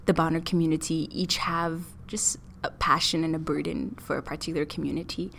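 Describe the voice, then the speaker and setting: A young woman student from Ethiopia, talking about her studies and experiences thoughtfully, with fillers and pauses. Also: sits low, fairly even intonation, gravelly, a bit bright, a little hiss or hum. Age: young adult. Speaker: student interviewee. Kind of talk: casual interview.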